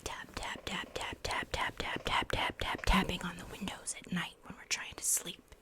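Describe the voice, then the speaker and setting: A woman's voice: on the low side, monotone, pushed and bright. Woman acting as a whispering, paranoid asylum patient. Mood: secretive, eerie. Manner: repeats words obsessively, halting, conspiratorial. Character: paranoid, unhinged female asylum patient, secretive and fearful. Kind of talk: whispered ASMR horror roleplay.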